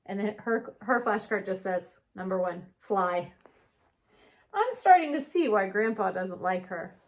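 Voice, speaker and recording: A woman's voice: mid-range voice, animated, a little slow. A woman teacher reading a children's storybook aloud with chatty asides, putting questions to young listeners and answering them. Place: indoor reading nook at home.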